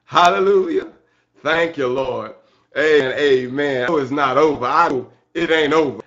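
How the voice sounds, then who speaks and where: A man preaching an excited church sermon in a halting way, repeating phrases and punctuating them with amen and hallelujah. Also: fairly high pitch. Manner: excited, fervent. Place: church service. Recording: dull, lo-fi sermon recording.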